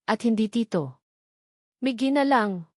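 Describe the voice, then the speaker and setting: A woman voicing an earnest, protective billionaire man in a storybook romance, speaking softly and reassuringly. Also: fairly high pitch, animated, unhurried, no echo, clean studio sound. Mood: gentle. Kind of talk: storybook character voice.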